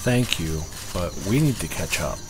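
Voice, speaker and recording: An actor playing a calm, weary druid guardian of a forest in a fantasy audio drama, grave and measured. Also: male voice, low-pitched, heavy background noise. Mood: wary.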